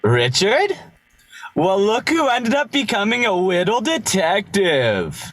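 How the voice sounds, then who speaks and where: A man's voice: high-pitched, wildly swooping pitch, slow pace, fairly bright tone, no room sound, exaggerated voice. A man playing a sneering, condescending small-town bully in an amateur radio play, mocking and taunting. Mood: dismissive.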